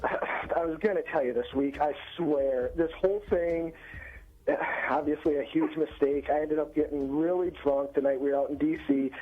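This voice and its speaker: A man on a phone call to a radio show, nervous and defensive, with a husky voice. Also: fairly high pitch, steady tone, moderate pace. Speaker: caller. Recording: tinny phone line with music.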